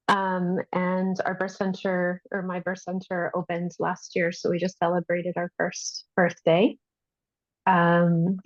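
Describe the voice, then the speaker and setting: A woman, a nurse midwife, telling her own story casually and haltingly on an online video call. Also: lowish voice, a little mellow, dry recording, lo-fi sound.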